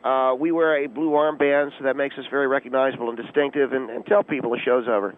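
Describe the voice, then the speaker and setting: A man playing an officious, self-important but clueless volunteer auxiliary policeman on a phone line, deadpan radio comedy with pompous, halting tactical jargon. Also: mid-range voice. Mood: calm, pompous. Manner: pompous pseudo-tactical jargon, hesitant filler-laden delivery. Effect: telephone line. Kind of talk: deadpan comic radio call-in. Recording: tinny, narrow phone line.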